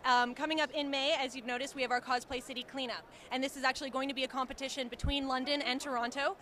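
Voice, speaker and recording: A woman's voice: high-pitched, steady tone, fast talker, sharp tone. A young woman who organizes a cosplay group, explaining their charity events fluently and eagerly in an interview at a convention. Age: young adult. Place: outdoors at a busy convention.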